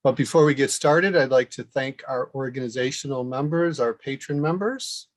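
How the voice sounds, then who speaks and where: A man hosting a webinar, presenting in a measured, somewhat halting way with pauses. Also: mid-range voice. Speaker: host and moderator, association director. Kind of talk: webinar presentation, semi-scripted.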